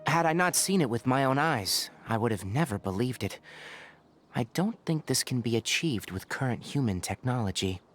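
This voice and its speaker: A man playing a calm, thoughtful young forest scholar in a video game, speaking in a measured, articulate way. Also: medium pitch, faint music behind, close to an everyday voice. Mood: grave, marveling.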